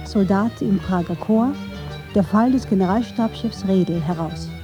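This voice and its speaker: A woman's voice: medium pitch, unhurried, music in the background. A woman reading scripted narration for a radio documentary.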